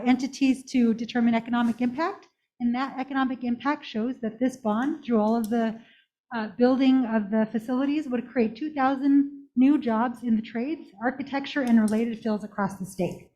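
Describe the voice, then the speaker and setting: A woman with a soft, fairly high voice gives a prepared public presentation. Her delivery is polite and formal. She speaks through a face mask into a handheld microphone in a meeting room. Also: moderate pace. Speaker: community college representative. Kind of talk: prepared presentation to a village council.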